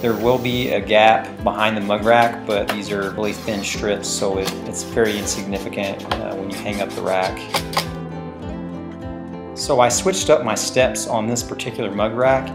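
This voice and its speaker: A man in his thirties, host of a woodworking channel, explaining a build casually with plenty of fillers, recorded on a lapel mic in his workshop. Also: fairly low pitch. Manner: relaxed, plain-spoken, many fillers. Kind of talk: casual how-to narration. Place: woodworking shop indoors.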